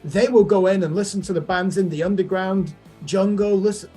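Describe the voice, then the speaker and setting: A man's voice: high-pitched, fairly quick, mellow, husky. A man co-hosting a casual music discussion, giving opinions with emphasis. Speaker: music reaction show co-host.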